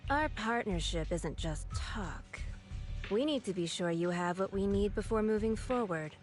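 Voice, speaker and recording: A woman's voice: medium pitch, measured pace, faint music behind, natural voice. A woman voicing a guarded, businesslike female envoy of a shady faction in a video game, speaking firmly. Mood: cool, firm. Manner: firm, businesslike.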